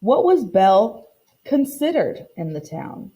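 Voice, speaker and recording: Female teacher, storybook read-aloud, mellow tone, very lively pitch, expressive and deliberate. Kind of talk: read-aloud lesson for children, storybook narration.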